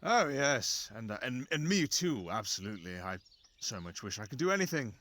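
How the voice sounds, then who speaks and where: A man's voice: mid-range voice, very animated, normal speed, a bit bright, a little hiss or hum. A man voicing a jealous, sarcastic fox familiar in a fantasy audio roleplay, fussy and dramatic, stammering out his protests. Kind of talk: fantasy ASMR roleplay acting.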